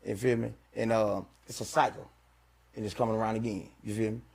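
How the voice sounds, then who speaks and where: A man's voice: fairly low pitch, fairly even intonation, brisk, vocal fry, darkish tone, husky. A man from Louisiana, a rapper chatting casually on a podcast and tagging "you feel me" onto nearly every line. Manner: laid-back, repeats "you feel me" constantly. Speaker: rapper guest.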